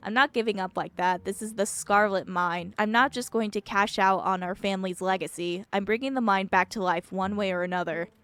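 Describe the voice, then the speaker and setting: A woman's voice: medium pitch, natural voice. A woman voicing a stubborn, sarcastic woman who runs a mine, in a curt, dry manner, in a video game. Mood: stubborn, stern.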